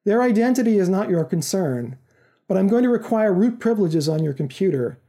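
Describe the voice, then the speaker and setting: Story villain's agent, read by a man: stern, formal, precise, high male voice, very soft-toned. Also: very animated, a little fast. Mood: stern, commanding. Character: commanding, secretive male intermediary making a job offer. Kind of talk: audiobook-like story reading.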